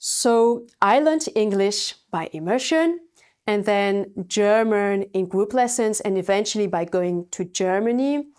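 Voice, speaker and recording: Slow-speaking French-accented woman coach. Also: medium pitch, a little mellow. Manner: earnest, personal storytelling with light laughs. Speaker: language coach. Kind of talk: webinar talk.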